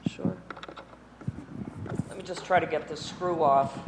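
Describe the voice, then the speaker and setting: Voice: medium pitch, steady tone, a little slow, in a large hall, heavy background noise. A window restoration instructor giving a hands-on workshop demonstration, explaining each part step by step while handling tools, with chatty self-deprecating asides to a live room. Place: indoor workshop room before an audience.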